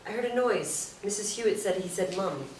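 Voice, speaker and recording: A woman's voice: medium pitch, expressive, fairly quick, darkish tone, natural voice. A woman in a staged play reading, playing a kindly, frank nurse who speaks confidingly. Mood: cautious. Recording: roomy stage, distant mic, faint noise.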